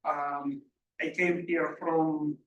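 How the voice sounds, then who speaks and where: A middle-aged man from Ukraine with a Russian accent gives an informal technical workshop talk, haltingly and often clearing his throat. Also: on the high side, little pitch movement, muffled recording. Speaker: workshop presenter, security engineer. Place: indoor room at a live workshop. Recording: roomy echo, distant mic, faint noise.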